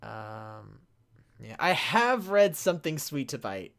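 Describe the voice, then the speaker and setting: A young man chatting casually and off the cuff as a panelist, haltingly reading out chat questions and answering them. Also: a little high, very animated, gravelly, fairly bright tone.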